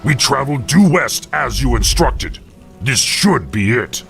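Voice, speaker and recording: An actor playing a dutiful orc soldier in a fantasy video game, reporting respectfully and forcefully in a gravelly voice. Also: male voice, mid-range voice, very animated, measured pace, faint music behind, exaggerated voice. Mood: dutiful, grim.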